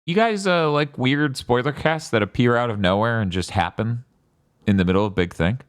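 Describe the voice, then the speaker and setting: A man's voice: mid-range voice, moderate pace, clean tone. A man hosting a casual podcast chat about games and films, joking and riffing with mock dialogue. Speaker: podcast host.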